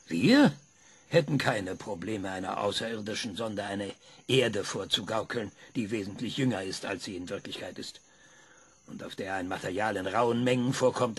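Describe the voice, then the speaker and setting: An actor playing a sardonic former researcher in a radio play, lecturing with impatient insistence. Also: male voice, lowish voice, expressive, moderate pace, slightly rough. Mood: insistent. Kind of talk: German radio play acting.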